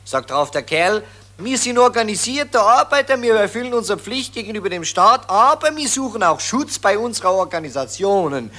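A man's voice: sits high, animated, moderate pace, bright, forceful tone, heavily acted. An actor with a Viennese accent playing a boastful, harsh army officer in a radio play, drawling and chuckling as he brags. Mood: smug.

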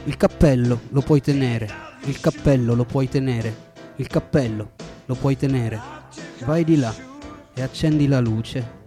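Slow, mellow man over soft music. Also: medium pitch. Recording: faint music behind the voice. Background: musical instruments.

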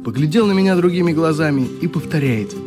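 An actor playing a friendly young youth leader in a radio drama, telling stories in a chatty, expressive way with a wistful streak. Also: male voice, fairly high pitch, quick pace, over music, close to an everyday voice. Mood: animated reminiscing. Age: young adult. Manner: chatty, expressive, acts out remembered exchanges. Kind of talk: radio drama, reminiscing dialogue.

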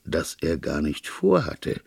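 A man voicing a gentle, shrewd priest detective who explains things in a measured way in an audiobook. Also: a bass voice, wildly swooping pitch, creaky, husky, dry recording, studio quality, exaggerated voice. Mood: calm, knowing.

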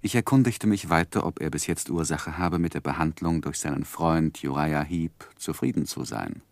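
An actor in a German radio play playing a reflective gentleman who narrates his own life in measured, literary phrases. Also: a bass voice, gravelly, no echo, studio quality. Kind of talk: scripted first-person narration in 1960s German radio drama.

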